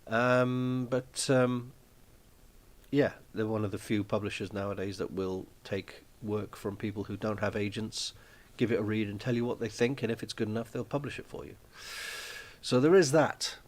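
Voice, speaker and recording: A man hosting a live stream from his small flat, chatting casually and rambling with lots of ums and restarts. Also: lowish voice, moderate pace. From Wales.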